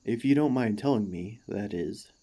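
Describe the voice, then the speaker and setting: A man playing an earnest, devoted warforged ex-gladiator in an ASMR roleplay, speaking to the listener with formal, courteous, gently hesitant phrasing. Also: lowish voice, expressive, normal speed. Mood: warm, tentative.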